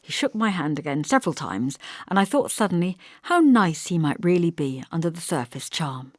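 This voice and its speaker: A woman's voice: fairly low pitch, animated, moderate pace, no room sound, clean studio sound. A woman reading scripted first-person literary narration as an audiobook narrator.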